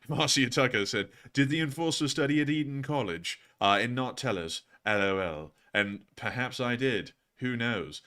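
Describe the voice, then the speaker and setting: A man's voice: fairly low pitch, bright, forceful tone. A man from Alabama putting on a posh British accent as he hosts a live stream, answering viewer messages in a wordy, formal, mock-pompous manner. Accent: put-on posh British. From Alabama, United States. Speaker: livestream host.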